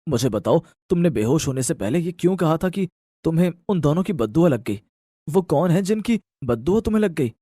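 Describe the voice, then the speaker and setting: A man's voice: fairly high pitch, lively intonation, fairly quick, mellow, no room sound, clean studio sound. A man voicing an emotional husband and father with an earnest, questioning manner in an audio story. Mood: concerned, insistent. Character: emotional adult husband, shocked and longing father.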